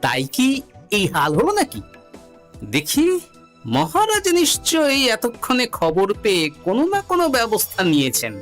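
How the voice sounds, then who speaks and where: A woman's voice: fairly high pitch, wildly swooping pitch, normal speed. A woman voicing a witty, self-important male court jester in a comic cartoon, with theatrical, wry delivery. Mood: puzzled. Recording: music behind the voice.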